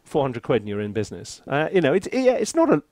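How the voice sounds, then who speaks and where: A man chatting casually as he hosts a software review, with an animated, theatrical and self-mocking manner. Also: medium pitch, fast talker, slight background noise. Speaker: composer and reviewer, video host.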